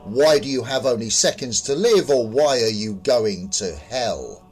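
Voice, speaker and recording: A man's voice: mid-range voice, lively intonation, a little slow, darkish tone, slightly rough, faint music behind. A man voicing a cold young female counter clerk in a horror story, bland and condescending as she states doom. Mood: cold, blunt.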